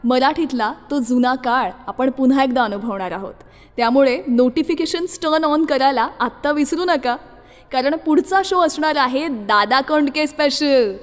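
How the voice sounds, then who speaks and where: High-voiced woman radio host, very reverberant. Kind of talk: radio show presenting, sign-off to listeners. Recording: long reverb, music playing behind. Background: music.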